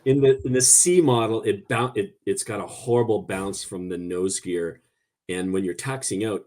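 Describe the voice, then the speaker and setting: A man's voice: deep voice, darkish tone. A man in his sixties, a former test pilot, telling blunt, emphatic stories as a guest in a casual podcast chat.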